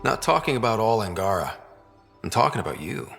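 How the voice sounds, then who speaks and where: Frank space explorer, music behind. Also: male voice, fairly low pitch, sing-song, fairly quick, vocal fry, a bit bright, heavily acted. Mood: engaged. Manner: direct, conversational. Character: earnest, frank young male space explorer leading a crew. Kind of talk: video game dialogue acting. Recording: music under the voice.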